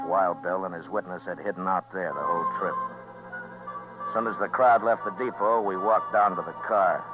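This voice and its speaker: An actor playing a stern, weary frontier marshal in a 1950s radio western, terse and firm. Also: male voice, deep voice, lightly acted. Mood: matter-of-fact. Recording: muffled 1950s radio recording.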